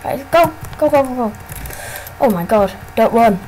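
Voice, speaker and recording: A woman casually commenting on her gameplay, excitable and often exclaiming. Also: fairly high pitch, unhurried, a little hiss or hum, poor audio.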